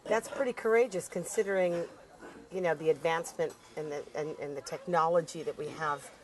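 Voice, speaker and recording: A woman's voice: sits low, brisk. A woman hosting a talk show, asking probing questions and finishing her guest's sentences. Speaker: host.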